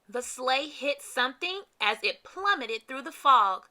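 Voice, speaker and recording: A woman reading a children's storybook aloud with expressive, dramatic emphasis. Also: high-pitched, a little slow, a little hiss or hum. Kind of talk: read-aloud scripted narration for kids.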